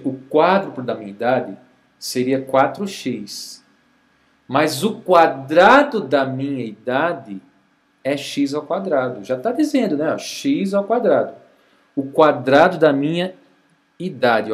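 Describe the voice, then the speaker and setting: A Brazilian man teaching a recorded math review lesson, explaining step by step and checking in often with the class. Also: mid-range voice. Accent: Brazilian Portuguese. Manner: slow, patient explaining with frequent check-ins.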